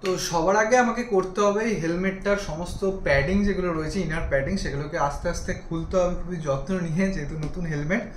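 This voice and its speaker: Man, high-pitched voice, rapid delivery, casual gear reviewer, explains step by step to viewers. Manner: explanatory, addresses viewers directly. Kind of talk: casual hands-on product demonstration to viewers. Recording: echoey room, faint background noise.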